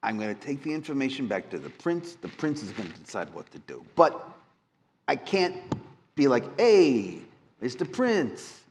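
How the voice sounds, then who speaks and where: Man, lively intonation, medium pitch, casual roleplay, live convention recording. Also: moderate pace, big-room sound. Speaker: tabletop game player. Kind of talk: casual animated roleplay banter. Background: room ambience and noise.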